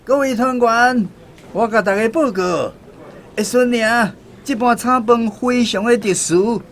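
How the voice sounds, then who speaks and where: An actor playing a proud, eager township chief in a radio drama, declaiming boastfully to guests with a Taiwanese accent. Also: male voice, extremely high pitch, a little slow. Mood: excited, boastful.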